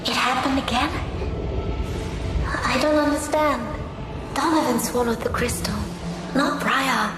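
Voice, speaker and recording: A pensive ghostly sister in dark fantasy. Her slightly high voice is slow and deliberate, set in a roomy echo as in a game cutscene. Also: steady tone. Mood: puzzled. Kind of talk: dramatic video game cutscene acting. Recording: roomy echo under loud music.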